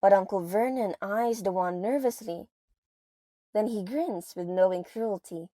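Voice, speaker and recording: Slow, theatrical woman narrator. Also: mid-range voice, warm tone, no echo, clean studio sound. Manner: theatrical, suspenseful, with pauses for effect. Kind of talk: dramatic scripted narration of an audio play. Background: music.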